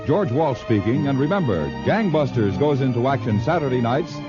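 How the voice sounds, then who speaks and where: A man's voice: medium pitch, very animated, moderate pace. An American man reading formal, emphatic scripted credits and announcements as a 1950s radio announcer. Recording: dull 1950s radio recording. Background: music.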